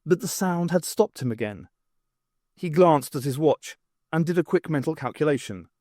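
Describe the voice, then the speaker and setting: A man's voice: a little high, expressive, normal speed, darkish tone, dry recording, clean studio sound. A man reading scripted fiction aloud as an audiobook narrator.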